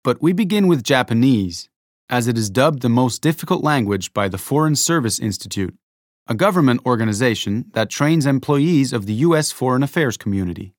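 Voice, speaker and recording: A man reading a scripted educational narration, explaining clearly and adding rhetorical asides. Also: mid-range voice, lively intonation, a little fast, no room sound, clean studio sound.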